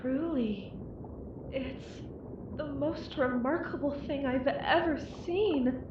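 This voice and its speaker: An actress playing an uneasy deep-sea submersible crewmate in an analog horror drama, with a measured, hesitant delivery. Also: female voice. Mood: quiet wonder. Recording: dull, echoey, clear background noise.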